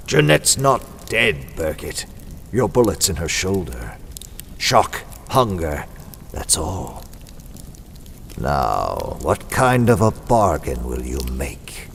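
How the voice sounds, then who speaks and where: Menacing unseen crime-fighter, deep voice, mocking, deliberate, old-time radio drama, faint noise. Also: male voice, huge pitch swings, gravelly, fairly bright tone, exaggerated voice. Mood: taunting. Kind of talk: Golden Age radio drama acting.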